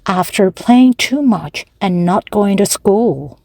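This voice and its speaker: A woman reads a children's storybook aloud in short, measured phrases. Her voice is mellow and dry, close and plain. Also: mid-range voice, lively intonation, dry recording. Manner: measured, with pauses between phrases. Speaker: storybook narrator. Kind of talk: slow, clear read-aloud for language learners.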